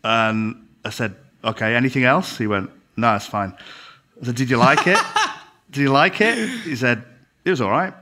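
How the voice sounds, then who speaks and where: A man on a podcast, a recruitment business owner, telling lively anecdotes and acting out quoted dialogue. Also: mid-range voice, normal speed, noisy background. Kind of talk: casual podcast chat.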